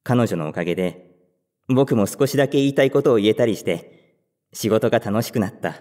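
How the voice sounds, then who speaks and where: A man's voice: on the low side, expressive, a little fast, mellow, no room sound, clean studio sound, lightly acted. An actor playing a timid, overthinking young male office worker who stammers when flustered and also narrates the story. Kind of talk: first-person narration and dialogue.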